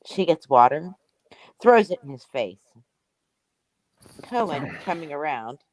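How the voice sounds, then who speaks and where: A woman's voice: deep voice, sing-song, takes it slowly, no echo. A woman reading stage directions as scripted narration at a play table read.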